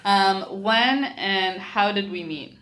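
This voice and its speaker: A woman in her twenties co-hosting a casual couple Q&A vlog, chatty and giggly, in a small bright room. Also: medium pitch, slow pace. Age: 20s. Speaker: co-host.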